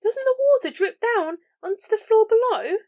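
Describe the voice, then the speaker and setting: A woman voicing a curious, excitable little girl, earnest and full of questions, in a children's storybook. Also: very high voice, moderate pace, pitched above the performer's own voice.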